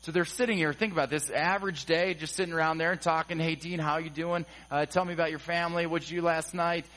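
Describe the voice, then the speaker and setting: Male pastor, high tenor, casual and humorous pulpit delivery. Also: fast talker, low-quality recording. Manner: conversational, acts out quotes with humor, rising excitement. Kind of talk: sermon.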